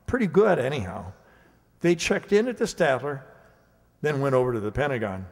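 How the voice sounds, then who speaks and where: An actor playing a folksy, rambling storyteller narrating a radio play in a casual, halting way. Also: male voice, mid-range voice, very animated. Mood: casual, reminiscing. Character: folksy, rambling male storyteller recounting hearsay. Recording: echoey hall with long reverb.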